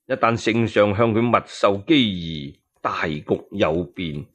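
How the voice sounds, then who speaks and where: A man voicing a grave, calculating chief minister in a radio storytelling drama, measured and conspiratorial. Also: fairly low pitch, slightly rough, pitched below the performer's own voice. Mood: grave, scheming.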